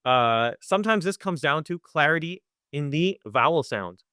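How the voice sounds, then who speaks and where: A man teaching Quran recitation in a webinar, talking conversationally, often checking in with "right?" and sounding out recitation slowly. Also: fairly high pitch, expressive, pushed and bright, clean tone, no room sound, clean studio sound. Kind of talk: live webinar lesson.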